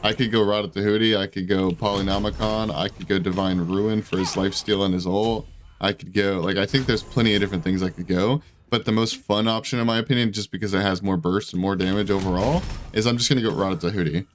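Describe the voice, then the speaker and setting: A man with a fairly low voice talks casually through his gameplay. He sounds congested and rambles in slangy, self-deprecating gamer talk. There is noticeable noise and clicking in the recording. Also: fairly even intonation, fast. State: congested, voice giving out. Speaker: gaming YouTuber.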